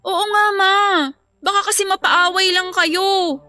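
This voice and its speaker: A woman's voice: extremely high pitch, little pitch movement, unhurried, pushed and bright, dry recording, full character voice. A woman playing a young daughter in a radio drama, complaining politely and plaintively, loyal to her brother. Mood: plaintive, supportive. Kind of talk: emotional radio drama acting. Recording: music bed behind the voice.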